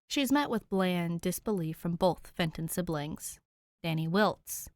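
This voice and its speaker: Lower-voiced woman reading a story. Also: a little slow, no room sound, studio quality. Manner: even, storytelling. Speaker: podfic reader. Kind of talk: audiobook narration of fan fiction.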